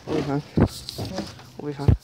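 A man's voice: fairly high pitch, completely flat delivery, moderate pace, creaky. A young man casually exploring abandoned buildings, talking loosely and swearing a lot, recorded on a phone he carries while walking outdoors. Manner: loose, profane, jumpy. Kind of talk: casual handheld exploration chat. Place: outdoors in woods and ruined sheds. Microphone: phone camera carried while walking. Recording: loud noisy outdoor phone audio. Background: rain and loud handling noise.

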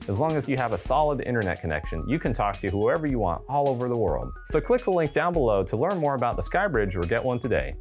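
A man's voice: mid-range voice, sing-song, quick pace, few pauses, soft background music. A man in his twenties giving a casual product demo and chatting on a handheld radio, recorded indoors on a lapel mic. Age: 20s. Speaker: presenter demonstrating ham radio gear. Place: indoor studio with wood-panel backdrop.

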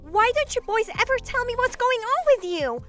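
A woman playing a sweet but sassy, motherly big sister demon in an animated comic dub, coaxing and excitable. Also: very high-pitched, moderate pace, faint music behind, full character voice. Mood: excited.

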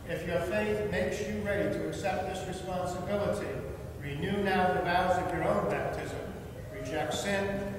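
An American clergyman leads a baptism in a formal, measured way, moving between solemn recitation and plain instructions. His fairly high voice is picked up from far off in a church, with heavy echo around it. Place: inside a large church. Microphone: distant camera in the pews. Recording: echoey church, far from microphone, noisy.

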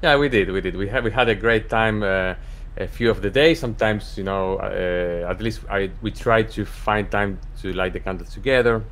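Low-voiced Argentine-born cantor on a call. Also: male voice, a bit bright. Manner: measured, pauses with fillers. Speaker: cantor, podcast guest. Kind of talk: casual conversation. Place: home office. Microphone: studio microphone on a video call.

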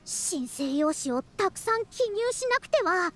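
A woman's voice: very high-pitched, big pitch swings, unhurried, fairly bright tone, slight background noise, heavily acted. A woman voicing a proud, youthful-sounding scholar in a Japanese video game, talking in a self-important yet caring way with old-fashioned elder's phrasing. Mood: flustered, grumbling. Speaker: scholar and teacher. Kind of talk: Japanese anime-style video game voice acting.

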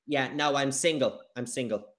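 An Irish man in his late twenties talks casually as an English teacher on a video call. His voice is of medium pitch, and he keeps his phrasing simple, repeating key words for a learner. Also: normal speed. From Ireland. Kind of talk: casual conversational lesson. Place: kitchen indoors, video call. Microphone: video call device.

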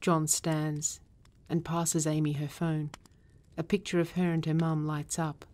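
A woman with a low-pitched voice narrates a script reading. She delivers stage directions as scripted narration, describing each scene and action for the listener. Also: flat delivery, a little slow, darkish tone.

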